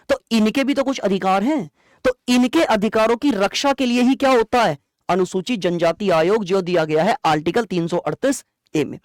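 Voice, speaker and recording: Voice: mid-range voice, no room sound, clean studio sound. An exam-prep teacher explains conversationally in a lecture style, asking questions and answering them, repeating key points and checking understanding.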